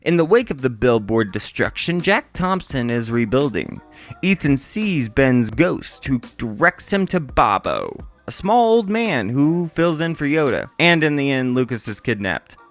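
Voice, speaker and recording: A man's voice: mid-range voice, very animated, unhurried, soft background music. A man narrating a scripted review as the reviewer.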